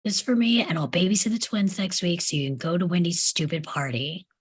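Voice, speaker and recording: A woman playing a cheeky, blunt young boy with a husky voice in a table read. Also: lowish voice, a little fast. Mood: bargaining, snappy.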